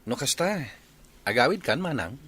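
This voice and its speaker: A polite, lovestruck young Ilocano man, played by an actor, persistent in his courting. His voice is medium-pitched, in Filipino radio drama style, over clearly noisy ambience. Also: very animated, moderate pace, sharp tone. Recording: clear background noise and ambience.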